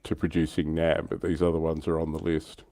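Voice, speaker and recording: Deep-voiced Australian man, dry and mellow. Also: monotone, fairly quick, no echo. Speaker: livestream host. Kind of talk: casual hobby discussion.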